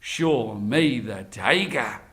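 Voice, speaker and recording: A cunning storybook beggar man, acted by a male storyteller, who plays slow-witted. His words come slowly and drawn out, in an innocently puzzled way. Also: medium pitch, expressive, a bit bright, voice pushed down. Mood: mild, curious. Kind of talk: children's folktale storytelling.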